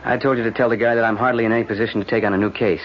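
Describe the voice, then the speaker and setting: An actor playing a weary, wry defense lawyer who grumbles drily in a vintage radio drama. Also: male voice, lowish voice, fairly even intonation, very fast, lightly acted. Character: weary, sardonic male city defense lawyer. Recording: dull, noisy old radio recording.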